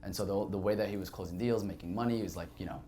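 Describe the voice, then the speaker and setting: A man's voice: deep voice, rapid-fire, dark tone, slight background noise. A young man, a native Arabic speaker from Saudi Arabia, telling his life story as a podcast guest in a candid, reflective way with many fillers.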